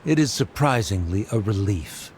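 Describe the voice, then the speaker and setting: A man's voice: on the low side, lively intonation, normal speed, darkish tone, a little hiss or hum, lightly acted. A man voicing a cold, haughty older scholar with dry, condescending delivery. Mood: aloof. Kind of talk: game cutscene voice acting.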